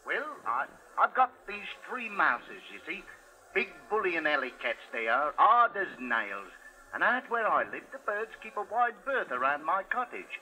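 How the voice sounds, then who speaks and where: Piercing, animated Cockney bloke. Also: male voice, sits high, heavily acted, low-quality recording. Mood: rambling, boastful. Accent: London Cockney. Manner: folksy, halting, ungrammatical. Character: working-class London man, plain-spoken, rambling cat owner. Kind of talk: 1950s radio drama acting. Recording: vintage 1950s radio broadcast.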